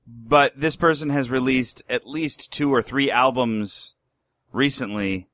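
A man's voice: medium pitch, slow, fairly bright tone. A man chatting casually about music, hesitant, with many fillers and restarts.

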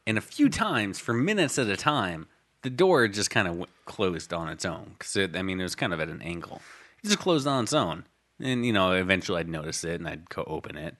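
Bright-voiced young man rambling. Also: deep voice, very animated, brisk. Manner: rambling storyteller, frequent restarts and fillers. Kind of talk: casual chat.

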